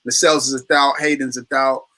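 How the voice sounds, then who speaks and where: A man's voice: medium pitch, little pitch movement, fairly quick, noisy background. A man with a London accent, a guest in a casual chat, talking in an easygoing, self-mocking way with hesitant fillers. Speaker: guest, football fan channel host.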